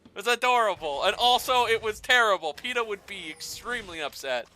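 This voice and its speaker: Excitable man casting games, very high-pitched. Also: a little fast, slight background noise. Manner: excitable, playful, dramatic phrasing. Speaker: esports play-by-play caster. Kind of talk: live game commentary with casual banter.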